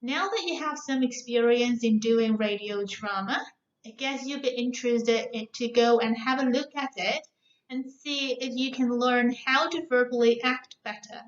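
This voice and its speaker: In a muffled, echoey room recording, a woman with a fairly high voice gives feedback as a competition judge. She addresses students in an encouraging, instructive way. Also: normal speed.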